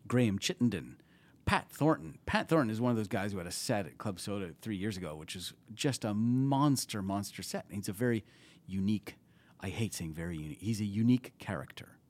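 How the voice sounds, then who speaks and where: A Canadian man talking shop on a podcast in a fairly low voice. He rambles loosely, circles back on his points and often lets his sentences trail away. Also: animated, brisk. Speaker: comedy festival TV producer, guest. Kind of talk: casual podcast conversation.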